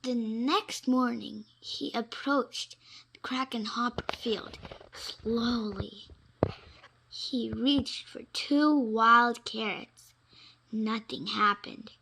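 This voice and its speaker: A woman reading children's stories aloud in an expressive, dramatic way, with suspenseful pauses. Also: a little high, gravelly, slight background noise, poor audio. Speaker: storybook reader.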